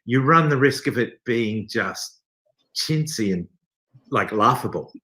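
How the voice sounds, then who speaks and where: A man being interviewed, talking casually in a thoughtful, halting way with wry, self-deprecating humour. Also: mid-range voice, animated, pushed and bright. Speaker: theatre company director being interviewed.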